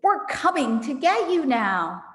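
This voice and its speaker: A maniacal, pot-bellied little farmer, put on by a woman reader, yelling threats. The storybook villain is high and swooping, in a room with long reverb. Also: sing-song, slow pace, heavily acted. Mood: taunting. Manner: yelling, menacing.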